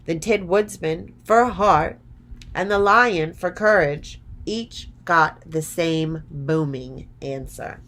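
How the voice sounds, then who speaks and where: A woman narrating a children's story from a script, deliberately, phrase by phrase. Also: lowish voice, a bit bright.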